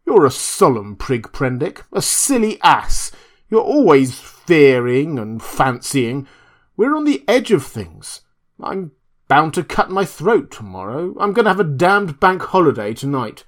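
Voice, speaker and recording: A man voicing a reckless drunken man who bellows, taunts and blusters in a raised, higher voice. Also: expressive, unhurried, hardly any pauses, darkish tone. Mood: drunkenly taunting. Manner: roaring, slurred bluster, mocking.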